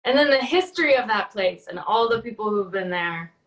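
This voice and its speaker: Woman guest on a podcast, normal pitch, loose informal chatty way of talking. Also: lively intonation, a little fast, rough recording. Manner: informal, frequent "like". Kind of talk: casual chat.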